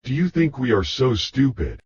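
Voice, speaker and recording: A man's voice: medium pitch, sing-song, normal speed, a little mellow, slightly rough, highs cut off. A man playing a sceptical, indignant villager in a children's storybook.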